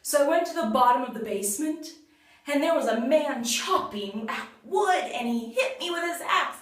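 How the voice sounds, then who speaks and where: A very echoey, distant recording of a woman playing a scared storybook robber. The voice is high for a man, loud and agitated, rushing through the account. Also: lively intonation. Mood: frightened, agitated. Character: frightened male robber recounting his ordeal. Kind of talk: storybook character monologue. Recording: roomy echo, far from mic.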